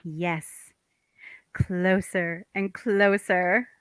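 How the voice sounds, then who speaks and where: A woman acting a naive, earnest young housewife longing for romance, girlish and excitable in a comic table read. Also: on the low side, drawn-out words, clean tone, dry recording, pitched below the performer's own voice. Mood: dreamy, earnest.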